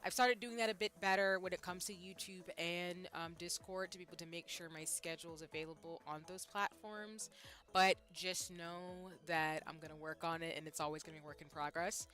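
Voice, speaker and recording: A woman streamer with a bright tone and a slight hoarseness in her voice. She chats with her viewers in an upbeat, rambling way, full of fillers. Faint background music sits under her voice. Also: lowish voice, steady tone, brisk. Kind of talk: casual stream chat.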